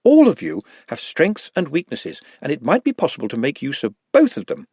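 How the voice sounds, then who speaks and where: A timid but highly articulate little man, as a male narrator puts him on, formal and precise with a posh air. His husky voice swings widely in pitch, recorded dry and close. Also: wildly swooping pitch, fairly quick, darkish tone, dry recording, heavily acted. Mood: lecturing.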